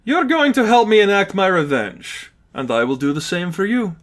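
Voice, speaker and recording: A man voicing a cold, controlling male villain in an audiobook, speaking in a commanding, deliberate, threatening way. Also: high-pitched, lively intonation, pitched above the performer's own voice. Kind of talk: fan fiction audiobook character acting.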